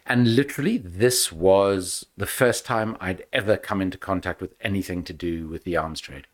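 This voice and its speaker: Low-voiced South African older man. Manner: measured, emphatic storytelling. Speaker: guest. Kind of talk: podcast interview.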